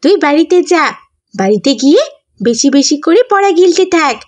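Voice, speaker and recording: Woman voicing a high-pitched, snappy schoolgirl. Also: very animated, moderate pace, darkish tone. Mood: scornful. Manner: sassy, scolding, emphatic. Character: boastful, snappy, scornful schoolgirl in a children's cartoon. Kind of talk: scripted cartoon dubbing.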